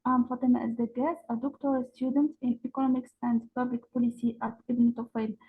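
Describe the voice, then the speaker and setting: A woman's voice: a little high, level tone, fairly quick, slightly rough. A woman from Morocco, formally introducing herself as a doctoral student over tinny call audio. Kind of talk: self-introduction on a video call. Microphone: video call.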